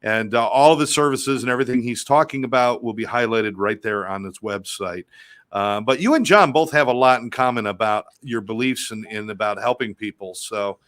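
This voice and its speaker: A man's voice: mid-range voice, normal speed, fairly bright tone. A man co-hosting a show, upbeat and filler-heavy as he introduces the guest. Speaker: co-host.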